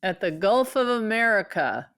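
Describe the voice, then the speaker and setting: A woman's voice: mid-range voice, sing-song, moderate pace, fairly bright tone. A woman co-hosting a podcast in casual chat, telling stories with lots of fillers and restarts. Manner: rambling storytelling with many fillers. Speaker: podcast co-host.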